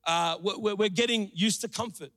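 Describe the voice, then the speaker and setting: A man from Sri Lanka preaching a sermon in a church, joking and calling out to the crowd with lively energy. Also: sits high, moderate pace. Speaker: pastor. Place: church auditorium. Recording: reverberant church hall.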